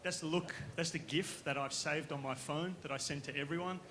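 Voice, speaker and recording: A man from Western Australia with an Australian accent, giving a conference pitch as a company founder, casual and conversational, often checking in with the audience. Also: a little fast, pushed and bright. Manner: casual, conversational, with frequent tag questions. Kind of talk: conference presentation. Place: conference stage.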